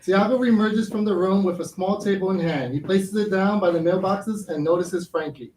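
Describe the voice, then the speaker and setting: Casting: male presenter and stage-direction reader, high voice, live roomy sound with slight noise. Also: normal speed, a little mellow. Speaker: playwright introducing and reading stage directions. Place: live staged reading in a theater program. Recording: echoey room with background noise.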